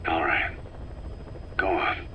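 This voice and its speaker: A man playing a gruff, terse, battle-worn soldier boss in a video game, speaking in short, low-key questions. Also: slow pace, background noise, close to an everyday voice. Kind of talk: scripted game dialogue.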